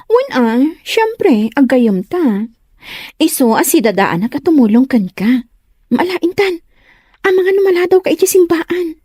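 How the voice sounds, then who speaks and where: Casting: gushing female best friend played by a woman, very high mellow voice, swooping, comedy drama. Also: sing-song, unhurried, no echo, clean studio sound. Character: bubbly, inquisitive female best friend of the bride. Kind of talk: Ilocano comedy drama dialogue.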